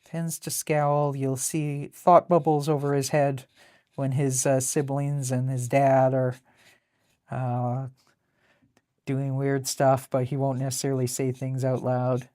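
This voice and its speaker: A woman with a deep contralto voice chatting casually and in a rambling way as she hosts a live drawing stream. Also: flat delivery, slow pace, warm tone, no room sound, clean studio sound. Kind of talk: casual chat while drawing.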